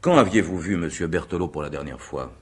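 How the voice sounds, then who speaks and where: An actor playing a courteous, methodical examining magistrate in a radio mystery drama, speaking in formal, measured, legalistic phrases. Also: male voice, sits low, animated, slightly rough. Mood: probing, methodical.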